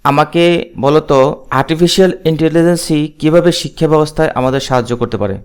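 A man's voice: fairly high pitch, fast talker, clear, resonant tone. A man presenting a casual demo and asking questions.

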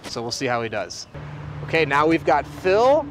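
Man presenting outdoors, slightly high voice. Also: sing-song, moderate pace. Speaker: host, company CEO. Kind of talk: upbeat on-camera interviewing and presenting. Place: outdoors by traffic. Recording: outdoor recording with clear street noise.

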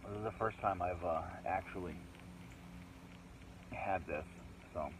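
A man's voice: deep voice, measured pace. A middle-aged man vlogging alone while camping in the woods, chatty and thinking aloud with rambling asides, recorded on a phone outdoors. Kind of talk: casual solo camping vlog. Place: outdoors in a wooded island. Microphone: phone camera, handheld selfie or set down nearby. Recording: noisy outdoor phone audio. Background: birds and outdoor ambience.

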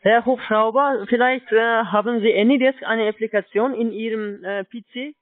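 A high-voiced man, not a German speaker, works as a call-centre agent. He gives polite, halting step-by-step instructions over a dry, muffled telephone line. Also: fairly even intonation, no echo. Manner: polite, halting, with repeated confirmations. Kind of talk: salesman giving step-by-step instructions on a phone call.

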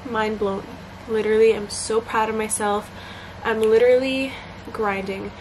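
A woman's voice: a little high, level tone, normal speed. Young female vlogger, chatty and upbeat, on a camera in her bedroom, noticeable background noise. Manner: bubbly, chatty, many fillers. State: congested. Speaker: vlogger and college student. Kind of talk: casual vlog chat to camera. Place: small bedroom indoors. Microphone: camera at arm's length.

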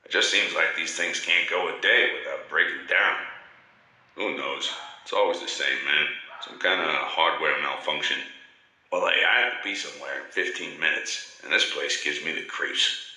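A man's voice: a bass voice, moderate pace, pushed and bright, full character voice. A man playing a weary, impatient technician in a video game, with a casual, clipped, grumbling delivery. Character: weary, impatient technician hauling broken machines. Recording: very echoey game audio.

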